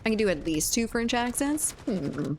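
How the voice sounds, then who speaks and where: A woman's voice: fairly high pitch, big pitch swings, moderate pace, darkish tone, slight background noise. A young woman streamer, chatting casually with viewers, rambling and laughing often. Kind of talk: casual chat with viewers while gaming.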